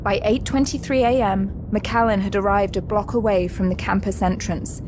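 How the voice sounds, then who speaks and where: A woman's voice: mid-range voice, fairly even intonation, moderate pace, background noise. A woman giving scripted third-person narration for an audio drama. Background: music.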